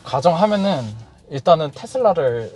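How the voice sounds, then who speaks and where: A man around thirty giving casual interview answers, often pausing, searching for words and laughing softly. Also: on the high side, expressive, breathy edge. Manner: hesitant, searching for words with fillers and soft laughs. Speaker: car owner interviewee.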